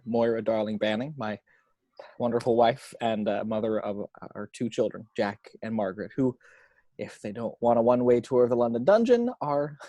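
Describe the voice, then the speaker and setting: A man's voice: mid-range voice, warm tone, dry recording, lo-fi sound, natural voice. A man playing a humble, wry married father giving an earnest tribute speech in a script table read.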